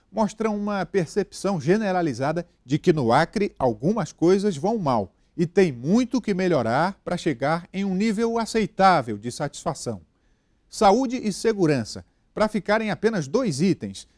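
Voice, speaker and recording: A man's voice: a little high, no room sound. A man in his forties with a Brazilian Portuguese accent giving an emphatic opinion commentary in a TV studio on a lapel mic. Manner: lively, emphatic opinion delivery. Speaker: TV news commentator. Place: TV news studio.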